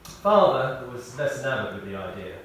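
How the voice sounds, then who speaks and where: A man reading a prepared tribute as a eulogist, telling stories in a measured way with dry humour. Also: medium pitch, big pitch swings, fairly bright tone. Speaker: eulogist at a memorial service. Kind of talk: prepared spoken tribute, read aloud. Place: speaking in a large church. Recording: echoey church, distant mic, faint noise.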